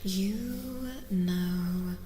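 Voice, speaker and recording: Soft, whispery woman in reverberant ASMR. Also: mid-range voice. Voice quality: soft, breathy, often dropping to a whisper. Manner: gentle, deliberate, repeats phrases. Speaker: streamer and tarot reader. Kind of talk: soft-spoken ASMR, live tarot reading. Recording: roomy reverberant sound, faint noise.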